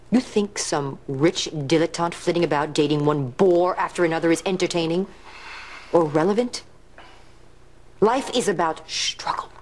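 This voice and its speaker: A woman with a low voice as a 1930s radio serial writer in a period drama. She is strong-willed and principled, with a firm, dry delivery that puts weight on her words. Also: a little mellow, slight background noise. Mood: dry, defiant. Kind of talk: period television drama acting.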